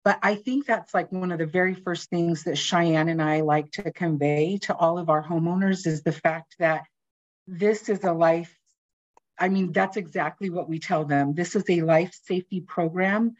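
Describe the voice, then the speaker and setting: A woman's voice: lowish voice, fairly even intonation, normal speed, muffled recording. A woman from a home retrofit program talking in a chatty, informal way on a panel. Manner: chatty, informal, many fillers. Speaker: seismic retrofit program staff. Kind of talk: panel discussion.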